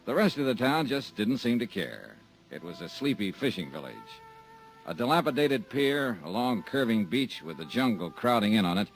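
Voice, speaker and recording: An actor playing a wry, hard-boiled insurance investigator who narrates and trades dry, sardonic quips in a 1950s radio drama. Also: male voice, on the low side, low-quality recording. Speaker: narrator and lead.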